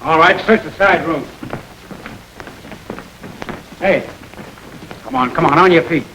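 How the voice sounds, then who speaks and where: An actor playing a brusque, sceptical police officer barking orders in an old-time radio crime drama. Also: male voice, fairly high pitch, normal speed, bright, forceful tone. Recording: noisy vintage radio recording.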